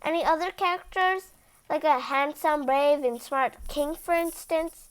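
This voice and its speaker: A woman voicing a pompous, quick-tempered king in a children's picture book, demanding and imperious. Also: high-pitched, poor audio. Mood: vain, bossy. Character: pompous, bossy, easily angered vain storybook king.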